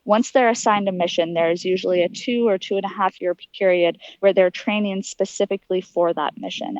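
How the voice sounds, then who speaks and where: A woman's voice: lowish voice, darkish tone, no echo, muffled recording. An American woman giving informal, detailed and articulate explanations as an interview guest. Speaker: interview guest, aspiring astronaut.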